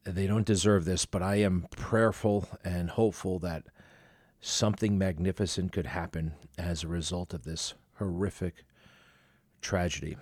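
A man with a low voice hosts an interview, firing off quick questions that stumble through fillers and restarts. The sound is dry and close, with no room around it. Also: fairly even intonation, a little mellow, slightly rough, no room sound. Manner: rapid, halting questions with fillers. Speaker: interview host. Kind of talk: podcast interview.

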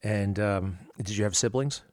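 A man with a low voice hosts an interview, firing off quick questions that stumble through fillers and restarts. The sound is dry and close, with no room around it. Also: a little mellow, no room sound. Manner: rapid, halting questions with fillers. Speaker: interview host. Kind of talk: podcast interview.

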